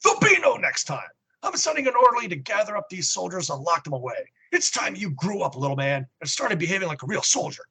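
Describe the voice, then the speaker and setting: A man's voice: high voice, sing-song, fast talker, pushed and bright, slightly rough, voice pushed up, exaggerated voice, poor audio. A man voicing a harsh, contemptuous military dictator father who barks and scolds. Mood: angry, stern. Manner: barking, scolding.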